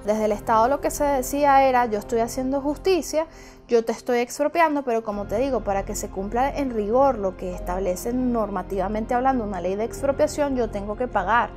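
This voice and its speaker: High-voiced woman explaining as an expert. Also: fairly quick, darkish tone, soft background music. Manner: didactic, addresses the listener with examples. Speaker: human rights observatory expert. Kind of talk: explanatory interview commentary.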